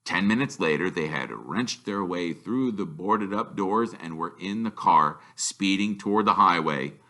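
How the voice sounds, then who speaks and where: A man reading a children's story aloud with expressive, emphatic delivery, drawing out some words. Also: low-pitched, normal speed. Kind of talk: animated storybook narration.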